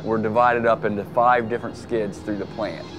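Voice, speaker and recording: A man's voice: lowish voice, flat delivery, moderate pace. A young man giving a plain, explanatory tour of a fuel plant from inside it. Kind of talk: casual explanation. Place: industrial plant indoors. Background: background music.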